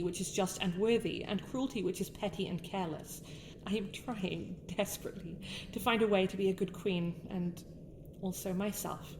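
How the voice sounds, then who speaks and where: A young winter princess, acted by a woman, speaks in a British accent at a medium pitch. Her phrasing is formal and theatrical, that of a proud, sharp-tongued royal with a softer side, in an intimate fantasy ASMR roleplay. Light ambient noise sits behind the voice. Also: lightly acted, poor audio. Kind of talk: scripted ASMR roleplay addressed to the listener.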